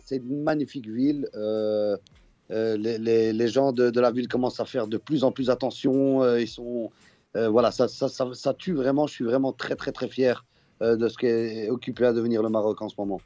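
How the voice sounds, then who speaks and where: A man from Belgium, a guest musician talking in a relaxed way with frequent fillers and restarts. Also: medium pitch, normal speed, dry recording, lo-fi sound.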